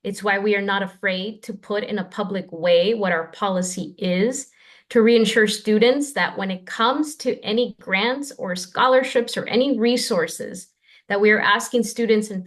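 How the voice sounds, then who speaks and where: A woman's voice: mid-range voice, measured pace. Woman, official remarks, firm and reassuring, formal, remote call. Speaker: state aid official. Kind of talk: official remarks at a news briefing.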